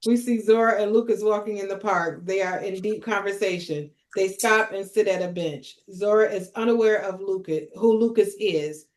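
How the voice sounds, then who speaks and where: A woman's voice: mid-range voice, normal speed, hardly any pauses. A woman with a mid-range voice reads out screenplay directions as host of a table read. Her delivery is plain narration, with casual asides to the group.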